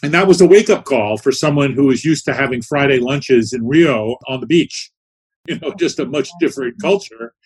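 A man's voice: medium pitch, darkish tone, husky, poor audio. A man interviewed as a guest, answering in a reflective, storytelling way and often checking in with "right?". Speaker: guest, business consultant.

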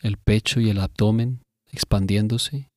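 A man narrating a scripted guided meditation in a measured, instructive way, pausing between phrases. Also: lowish voice, fairly even intonation, a little mellow, no echo, studio quality.

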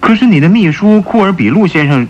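An actor playing a stern, suspicious and stubborn police chief in a radio drama, questioning insistently in a husky voice. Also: male voice, high voice, animated, fairly quick, a little mellow, highs cut off. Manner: probing, insistent, theatrical questioning.

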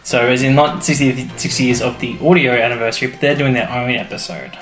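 A man's voice: medium pitch, steady tone, fast, a bit bright. Male fan-podcast host, mid-range voice, chatty and eager, informal phrasing, roomy sound. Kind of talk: casual banter about a sci-fi series. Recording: roomy echo, faint music behind.

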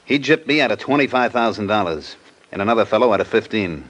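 A blunt, sour man of business in a vintage radio crime play. His voice is low, and he talks gruffly and plainly with a sardonic edge. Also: animated, fast talker, a bit bright, low-quality recording. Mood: businesslike. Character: blunt, sour gambler businessman who was swindled. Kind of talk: 1950s radio detective drama acting.

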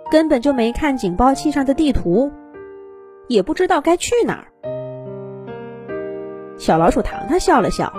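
Lively, higher-voiced woman telling fairy tales. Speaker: children's storyteller. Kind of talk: expressive scripted fairy-tale narration.

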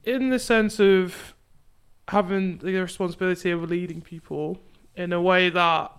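A young woman hosting a casual podcast chat, chatty and quick to laugh as she steers the conversation. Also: on the low side, steady tone, smooth. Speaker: podcast host and youth ministry leader.

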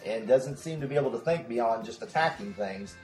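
A man's voice: medium pitch, fairly quick, a little mellow. A man chatting casually as a podcast co-host. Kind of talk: casual animated chat. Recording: roomy sound with faint music.

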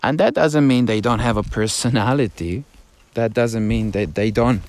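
A man from Italy with an Italian accent, talking as he walks down a mountain trail, halting and musing, sometimes dropping to a hushed, awed voice. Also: medium pitch. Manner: musing, halting, drifts between hushed awe and excited chatter. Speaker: podcast host. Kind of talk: casual walking monologue.

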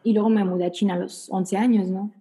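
Young woman from the border, singer guest, mellow tone, breathy, thoughtful rambling. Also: medium pitch, steady tone, fast talker. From Tijuana and San Diego border. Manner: thoughtful, rambling, mixes in English. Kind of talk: casual interview answers.

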